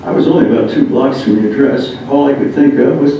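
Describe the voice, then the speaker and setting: A man reads a written first-person memoir aloud, halting at times. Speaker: reader of a memoir. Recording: muffled, very echoey room, distant mic, rumbling noise.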